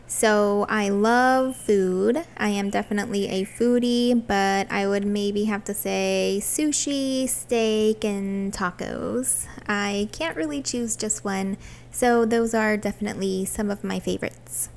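A woman's voice: medium pitch, fairly even intonation, slow, vocal fry. A woman in her thirties from Florida, chatting cheerfully through a cleaning vlog and answering viewer questions, filmed on a phone in her home bathroom. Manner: cheerful, chatty, laughs often. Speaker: vlogger. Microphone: phone camera across the room.

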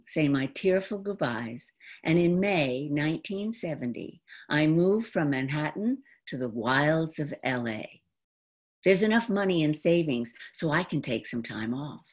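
Woman, 70s, American. Low, highly animated voice for theatrical personal storytelling, deliberately phrased. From New York, United States. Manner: deliberate, dramatic phrasing with pauses. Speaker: monologue performer. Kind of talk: theatrical autobiographical storytelling monologue. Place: indoor room at home. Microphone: computer mic on a video call.

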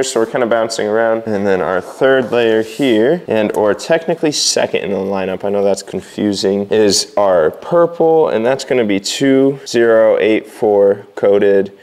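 A young man with a lowish voice walks through his screen printing prep in a casual vlog. He talks easily and explains each step as he goes, clipped with a lapel mic in a large workshop. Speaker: screen printing shop owner. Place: large workshop with wooden floors.

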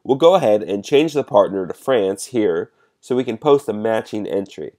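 A man with a fairly low voice narrates a software demonstration. He explains each step in a measured, instructional way, like a presenter guiding users through a program. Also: lively intonation.

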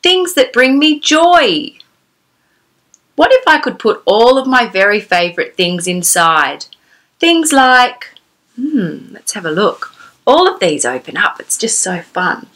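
Bright, animated woman storyteller for children. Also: on the high side, takes it slowly. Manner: exclamatory, sing-song, asking the child questions. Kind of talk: animated read-aloud storytelling for young children. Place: small decorated room indoors.

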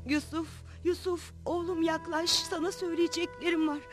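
High, tender frail mother. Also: level tone, normal speed, faint music behind. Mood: weak, urgent. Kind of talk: Turkish cartoon dub acting.

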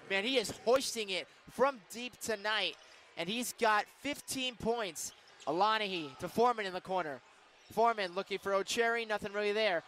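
A man calling basketball play-by-play on the radio, with excitable, punchy calls. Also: very high-pitched. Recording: arena crowd and court noise behind. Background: basketball game in an arena.

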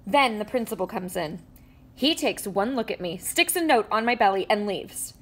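A woman reading a children's picture book aloud with animated storytelling and playful sound effects. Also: mid-range voice, moderate pace. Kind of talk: expressive read-aloud. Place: indoors at a table with a book.

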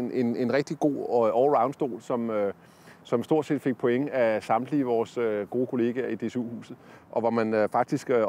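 A man's voice: medium pitch, a little mellow. A man in his forties giving a casual product review on a campsite lawn, talking with many fillers and restarts into a lapel mic. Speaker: product tester.

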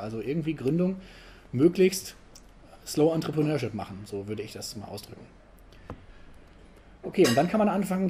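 A middle-aged man giving a casual, conversational talk to an audience at an event, often ending points with a quick tag question. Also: mid-range voice, a little hiss or hum. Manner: conversational, frequent tag questions, animated emphasis. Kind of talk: informal conference talk.

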